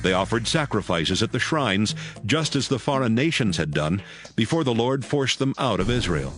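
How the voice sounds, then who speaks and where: A man's voice: lowish voice, expressive, normal speed. A man narrating a dramatized audio Bible as a scripted storyteller. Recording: music under the voice.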